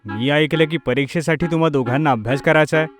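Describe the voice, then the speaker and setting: A man's voice: on the high side, fast talker, faint music behind, lightly acted. A man voicing a strict, impatient father who sternly instructs and scolds his children in a cartoon story. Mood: firm.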